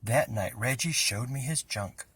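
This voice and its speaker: A man reading a children's story aloud as an expressive narrator. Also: medium pitch, unhurried, creaky, breathy edge. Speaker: storybook reader.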